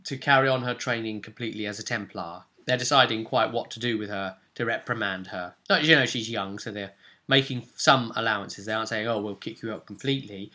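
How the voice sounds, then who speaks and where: A man's voice: lowish voice, a little fast, sharp tone. A man hosting a casual, playful tabletop role-play recap in a jokey, hammy way. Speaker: host and game master recapping a tabletop role-play story. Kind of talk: casual, jokey story recap for a video audience.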